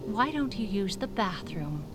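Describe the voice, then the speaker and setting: A woman playing a hospitable but guarded character in an audio drama, speaking gently and carefully in short phrases. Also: medium pitch, very animated, measured pace. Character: hospitable, guarded woman receiving a visitor at home. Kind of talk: dramatic audio fiction acting. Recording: loud music behind.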